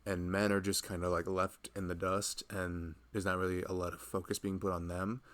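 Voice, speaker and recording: A man playing a loving, protective husband in an intimate ASMR roleplay, soft-spoken and chatting in a rambling, casual way. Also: low-pitched, little pitch movement, moderate pace. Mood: earnest.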